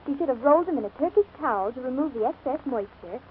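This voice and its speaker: A chirpy woman co-presenting a scripted 1940s radio commercial, instructive in tone. Her voice is high, and the sound is thin with a faint hiss. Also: animated, fast. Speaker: advertisement co-presenter. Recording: scratchy, narrow-band 1940s radio broadcast.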